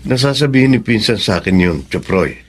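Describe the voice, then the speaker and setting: A man acting a kindly, generous cousin in a Tagalog radio drama, gentle, earnest and polite. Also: lowish voice, expressive, a little fast. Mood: earnest, confiding. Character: kindly, generous cousin returned from America, reassuring and respectful.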